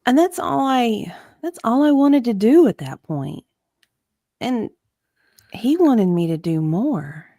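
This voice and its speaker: A woman co-hosting a dramatic reading of testimony, speaking in a conversational way with measured phrasing and some casual chat. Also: fairly high pitch, very animated, creaky, mellow, dry recording, studio quality. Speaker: co-host reading a witness's part from a deposition.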